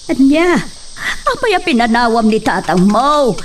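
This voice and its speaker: Fretful mother played by a woman, high and animated, pleading, Ilocano accent, radio drama. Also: unhurried. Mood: anxious, pleading. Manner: pleading, exclaiming. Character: anxious, fretful mother of a grown daughter. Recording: distant mic, faint background noise.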